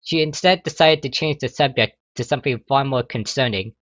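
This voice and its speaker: A man's voice: mid-range voice, fairly quick, a bit bright, no room sound. Male amateur narrator, mid-range voice, scripted story reading with occasional retakes, very dry lo-fi sound. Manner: stumbles and rereads phrases. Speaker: narrator, fan fiction reader.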